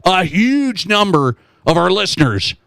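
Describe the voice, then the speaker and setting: A man hosting a sports talk radio show in an animated, conversational way, throwing questions at listeners and repeating phrases for emphasis. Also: high-pitched, a little slow, gravelly, no room sound, studio quality.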